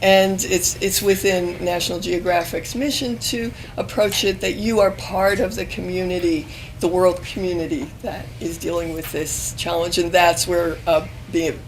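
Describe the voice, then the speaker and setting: A woman in her fifties, hoarse and losing her voice, speaking on an alumni panel at a university event, with many pauses and restarts. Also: lowish voice, slight background noise, low-quality recording. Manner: hesitant, many ums and restarts. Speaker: panel speaker, magazine editor. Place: university event room with audience.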